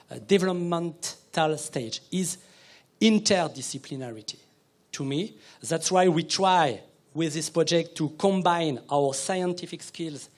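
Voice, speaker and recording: Man with frequent restarts, fairly high voice. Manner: halting, repeats words, restarts often. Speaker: researcher presenting a project. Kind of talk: conference panel answers.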